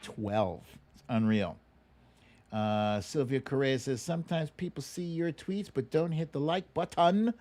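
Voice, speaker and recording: A man's voice: medium pitch, unhurried, a little mellow. A middle-aged American man hosting a casual livestream, informal and opinionated, often repeating words for emphasis. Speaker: livestream host.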